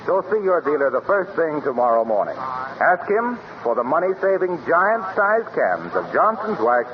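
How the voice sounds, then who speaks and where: Clear noise and a muffled band mark this old radio recording of a male announcer. His voice is high for a man, polished and emphatic, in the 1930s sponsor-pitch style. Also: big pitch swings, normal speed. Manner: polished, emphatic salesman delivery.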